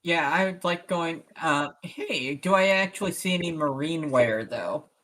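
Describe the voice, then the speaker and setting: A tabletop game player chatting casually and haltingly, describing and voicing a character's actions while thinking aloud. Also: mid-range voice, a bit bright. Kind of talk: casual in-character roleplay chat.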